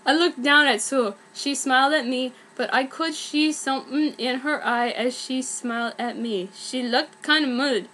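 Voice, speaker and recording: A woman's voice: high-pitched, normal speed, few pauses, pushed and bright, a little hiss or hum. A woman giving a mock-dramatic, theatrical amateur reading of a story. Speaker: reader of fan fiction.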